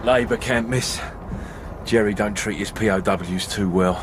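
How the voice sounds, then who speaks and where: An actor playing a brisk, gruff British soldier reporting to his officer, terse and barking, in a radio drama. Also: lowish voice, lightly acted. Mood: matter-of-fact. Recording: radio drama with outdoor vehicle noise.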